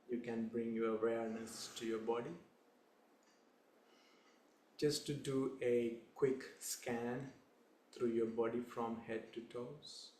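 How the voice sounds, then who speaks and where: A young male Buddhist monk from Sri Lanka guides a meditation in a calm, unhurried voice, speaking in short phrases. His pitch stays flat and even. The room sounds echoey, with a faint hum behind him.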